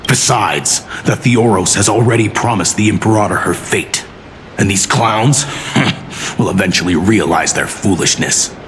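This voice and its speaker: A man's voice: lowish voice, measured pace, fairly bright tone, a little hiss or hum. A man voicing a proud, loyal military commander in a video game, formal and haughty in the way he speaks. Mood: lofty disdain. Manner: formal, haughty, disdainful of critics. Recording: music under the voice.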